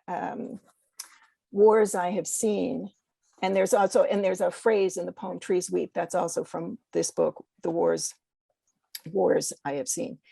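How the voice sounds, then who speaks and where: A woman's voice: mid-range voice, vocal fry, mellow, no room sound. A woman poet speaking reflectively and a little hesitantly as a podcast guest, talking about literature and reading.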